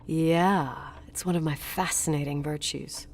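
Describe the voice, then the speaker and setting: A woman's voice: low voice, steady tone, measured pace, a little hiss or hum. An actress playing a strong-willed young woman in a video game, guarded and dryly teasing, haunted by her past. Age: young adult. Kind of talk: naturalistic video game voice acting.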